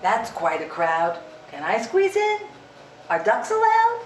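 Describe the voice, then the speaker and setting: Squeaky small duck, storybook voice, woman putting it on, high and excited, echoey room. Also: slow, distant mic, slight background noise, full character voice. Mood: eager.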